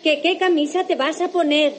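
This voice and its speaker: An actress dubbing a nagging wife who fusses over laundry. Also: female voice, high voice, fairly even intonation, moderate pace, slight background noise, natural voice. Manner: nagging, matter-of-fact.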